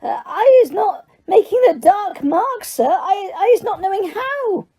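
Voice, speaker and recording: Squeaky, terrified little female house-elf. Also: sing-song, measured pace, mellow, exaggerated voice. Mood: tearful pleading. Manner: stammering, broken grammar, subservient. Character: terrified, pleading female house-elf servant, small and tearful.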